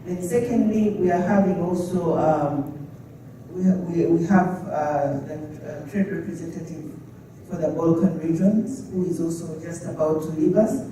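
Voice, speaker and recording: A man's voice: on the high side, unhurried, warm tone. A man moderating a trade summit, politely introducing the next speakers with frequent fillers. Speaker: event moderator. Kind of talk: conference announcement. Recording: echoey hall, distant mic, faint noise.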